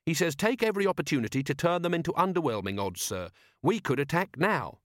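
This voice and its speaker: Man doing an eager, higher-pitched polite young soldier. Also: sing-song, fast, a bit bright, no echo, studio quality.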